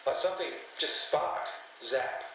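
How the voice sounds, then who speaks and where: An actor playing an eccentric older webmaster in a podcast drama, matey and rambling in clipped fragments. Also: male voice, fairly high pitch, unhurried, sharp tone. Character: eccentric older male conspiracy-minded webmaster, rambling and friendly. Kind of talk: audio drama podcast interview. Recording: distant, echoey room with faint noise.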